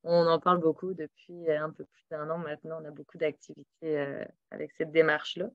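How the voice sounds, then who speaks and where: A woman's voice: deep voice, little pitch movement, brisk, dry recording. A woman with a Québécois accent introducing a webinar in a casual, hesitant way with many fillers, over a computer mic on a video call. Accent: Québécois French. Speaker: webinar host. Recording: dull, dry lo-fi audio.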